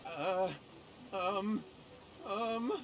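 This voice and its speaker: A man's voice: high-pitched, extremely slow, fairly bright tone, a little hiss or hum, exaggerated voice. A man playing a nervous, cowardly young man in a video game, flustered, anxious and pleading.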